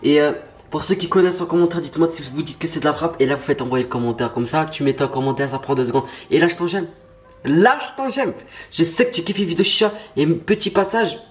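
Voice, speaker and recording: A man's voice: on the high side, fast talker. A young man, stuffy from a cold, chatting casually and slangily to his viewers in a vlog. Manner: slangy, pumped-up, talks straight to viewers. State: stuffy nose, has a cold. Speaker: YouTuber.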